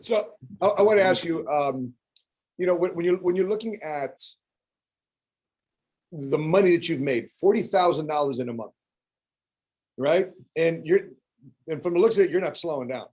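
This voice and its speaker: A man hosting a casual podcast interview, his voice sitting a bit higher than most men's. He questions his guests in a playful, teasing way, tripping over words as he goes. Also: moderate pace, long pauses, darkish tone. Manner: playful, jokey questioning with stumbles. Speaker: podcast host.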